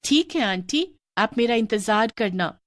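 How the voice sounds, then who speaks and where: A woman voicing a cheerful schoolgirl who loves street snacks, bubbly and excited. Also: on the high side, measured pace, no room sound, clean studio sound.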